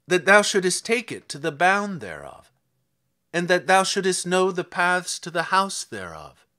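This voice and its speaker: Guy reading as stern god from the whirlwind, bright forceful voice, slow solemn declaiming, bit high. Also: heavily acted. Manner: declamatory, solemn rhetorical questioning. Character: God speaking from a whirlwind, stern, commanding, challenging. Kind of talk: dramatic scripture reading in archaic English.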